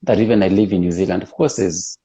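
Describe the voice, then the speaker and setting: A man interviewing someone in a casual, conversational way, with hesitant, unhurried phrasing. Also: low-pitched, steady tone, dark tone, breathy edge. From East and West Africa. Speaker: interviewer, host.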